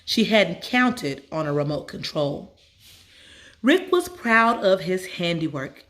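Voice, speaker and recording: A woman reading a children's story aloud as a storyteller, with measured, expressive phrasing and dramatic emphasis. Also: on the low side. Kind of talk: expressive scripted story narration.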